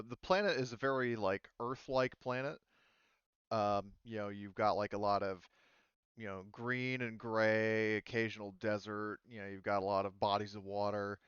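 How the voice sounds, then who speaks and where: A man's voice: fairly low pitch, fairly bright tone, smooth, no echo, highs cut off. A man speaking casually as a game master, explaining rules and settings in a hesitant, rambling, easygoing way.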